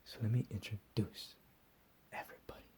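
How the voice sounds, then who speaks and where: A man playing a deranged, menacing captor in a whispered ASMR roleplay, profane and slow with drawn-out emphasis. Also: warm tone, natural voice. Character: deranged menacing male captor, recently escaped asylum.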